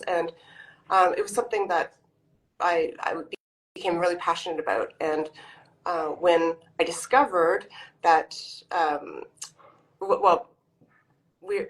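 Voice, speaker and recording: A woman's voice: lowish voice, a bit bright, poor audio. Chatty Canadian woman on a video call. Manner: chatty, many ums and restarts. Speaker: author guest. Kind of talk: casual interview over video call.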